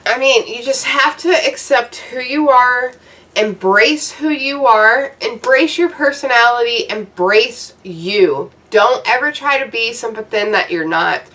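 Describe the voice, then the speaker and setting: A woman in her thirties rants to her viewers, blunt and profane, drifting loosely from one point to the next. Her voice is bright and a little high, and it sounds like she sits back from the mic. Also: measured pace, recorded from a distance, rough recording. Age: 30s. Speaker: YouTuber. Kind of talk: casual advice rant to camera.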